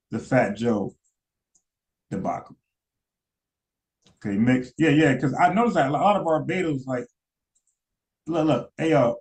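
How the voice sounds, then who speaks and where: A man's voice: medium pitch, darkish tone. Rambling man commenting to a live chat. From United States. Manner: rambling, repeats words, asks chat questions. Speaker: commentary streamer. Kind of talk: casual live-stream commentary.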